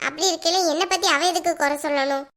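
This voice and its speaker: A woman's voice: sits high, quick pace, a bit bright. A woman playing an exasperated young mother in a family drama, talking in a blunt, colloquial, scolding way. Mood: aggrieved, complaining. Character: exasperated young mother, scolding and threatening her little daughter.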